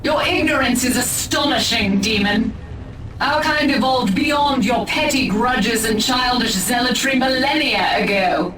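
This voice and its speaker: Game role: commanding female elf seer, played by a woman, disdainful and measured, fairly high voice, echoing and distant. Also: lightly acted. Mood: cold contempt. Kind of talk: video game dramatic acting. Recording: echoing, distant voice under music.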